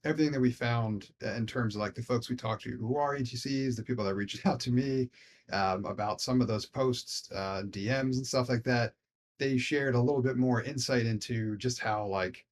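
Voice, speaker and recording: A man's voice: mid-range voice, fairly even intonation, normal speed, slight background noise. An American man co-hosting a casual discussion podcast, talking in a hedging, meandering, conversational way. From Philadelphia, United States. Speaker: podcast co-host.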